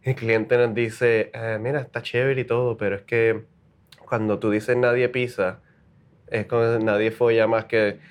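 Puerto Rican male copywriter, casual guest, halting delivery, drops English words. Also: medium pitch, level tone, clean tone. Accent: Puerto Rican Spanish. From Puerto Rico. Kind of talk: casual interview.

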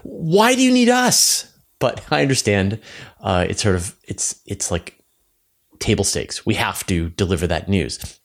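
A man with a fairly low voice hosts a casual podcast. He talks conversationally, leaning on words for emphasis and repeating them, and slips into animated asides. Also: a little slow. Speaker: podcast host.

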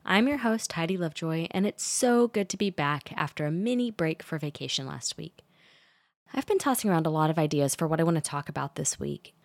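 A woman hosting a solo podcast, talking in a calm, reflective and measured way. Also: sits low, no echo. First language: English. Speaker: podcast host.

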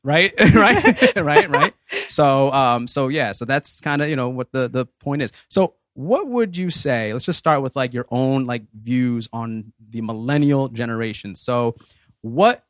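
A man's voice: medium pitch, unhurried, dry recording, studio quality. Man in his thirties hosting, rambling. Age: 30s. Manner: relaxed, rambling with many fillers. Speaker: podcast host. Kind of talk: casual group discussion.

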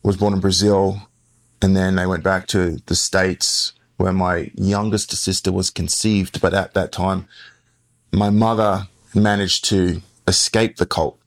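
Forties male guest, Australian accent, deep with a rough fry, loose rambling delivery. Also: flat delivery, unhurried. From born in the US, raised in Western Australia. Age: 40s. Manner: rambling, self-correcting storyteller. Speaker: podcast guest. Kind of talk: casual interview talk.

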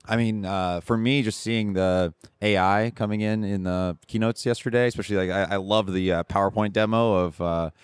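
A man speaking as a podcast guest in casual chat, with a hesitant, halting delivery. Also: lowish voice, steady tone, smooth, no room sound, clean studio sound.

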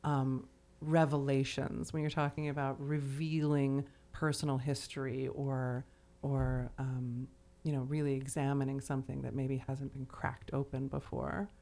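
A woman with a low voice chats as a podcast co-host about literature. She talks in a searching, halting way, stressing key words and checking that listeners follow. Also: flat delivery, creaky, dark tone. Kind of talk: literary discussion, casual chat.

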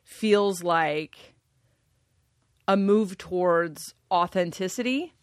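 Deep-voiced woman musing slowly. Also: dry recording, clean studio sound. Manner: reflective, thinks aloud with pauses and restarts. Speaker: podcast guest. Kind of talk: casual conversation.

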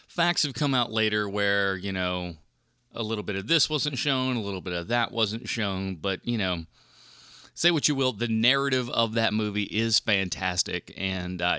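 A man's voice: on the low side, pushed and bright, smooth, dry recording, studio quality. A man hosting a film podcast solo in a casual, rambling, informal and mildly profane way. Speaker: podcast host reviewing films.

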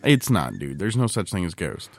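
A man's voice: low voice, big pitch swings, moderate pace, creaky. A man hosting a podcast, bantering casually in a teasing, sardonic way. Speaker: podcast host.

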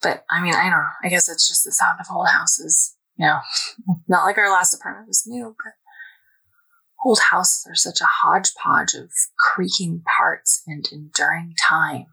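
A woman playing a young woman recording a soft, breathy sleep diary in an audio drama, with a raspy voice. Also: fairly low pitch, moderate pace, exaggerated voice, low-quality recording. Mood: reflective. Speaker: diarist.

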